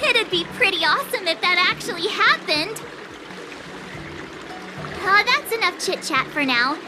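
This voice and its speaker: A woman's voice: very high voice, expressive, measured pace, music in the background. A woman voicing a bubbly, eager teenage girl sword apprentice in a video game, with a perky, earnest, theatrical delivery. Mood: chatty, curious.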